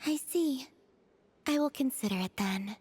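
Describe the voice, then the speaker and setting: A woman voicing a serene young moon goddess in a video game, soft and breathy, with unhurried, dreamy, formal phrasing. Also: high-pitched, animated, loud music behind the voice. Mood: serene, playful. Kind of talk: scripted video game dialogue.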